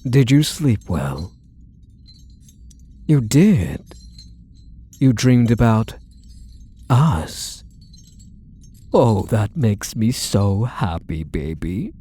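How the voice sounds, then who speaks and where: A man playing a possessive, doting kidnapper obsessively in love, speaking in soft, coaxing, condescending endearments for an intimate ASMR roleplay. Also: mid-range voice, huge pitch swings, very slow, long pauses, husky, exaggerated voice. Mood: doting, affectionate.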